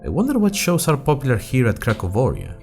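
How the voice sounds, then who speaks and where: A curious young student reporter voiced by a man recounts his adventures for an audio drama podcast. His delivery is expressive, with a lively rise and fall in pitch and a wry, conversational touch. Soft music sits behind his mid-pitched voice. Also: quick pace. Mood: chatty, curious. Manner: chatty, wry, expressive storytelling. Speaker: fictional podcast host and narrator. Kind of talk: scripted first-person audio drama narration in chatty podcast form.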